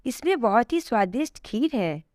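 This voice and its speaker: A woman's voice: fairly high pitch, big pitch swings, normal speed, a little mellow, dry recording, studio quality. A woman voicing contented, cheerful dwellers of heaven, explaining things in an upbeat storybook manner.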